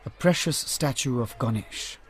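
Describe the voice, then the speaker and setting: An actor playing a shrewd private detective in a radio drama, composed, dryly polite and measured, with an Indian accent. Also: male voice, medium pitch, close to an everyday voice. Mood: guarded, dry.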